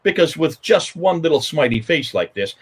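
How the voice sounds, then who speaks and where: Husky American male guest, lively pitch, forceful and commanding. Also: moderate pace. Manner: emphatic, interrupts and takes charge of the conversation. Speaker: guest speaker. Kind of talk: animated explanatory talk.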